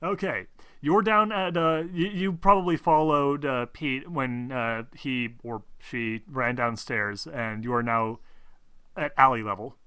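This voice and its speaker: A man acting as game master, narrating a tabletop game in an informal, excitable, improvised way. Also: mid-range voice, unhurried, smooth, no room sound. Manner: informal, excitable, swears freely.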